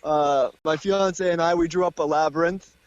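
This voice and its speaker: A man's voice: fairly high pitch, fairly even intonation, moderate pace, dry recording, lo-fi sound. A man who runs the group's tabletop game, chatting casually, laughing and swearing as he talks. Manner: laughs through his words, swears casually. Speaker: dungeon master. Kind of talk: casual chat.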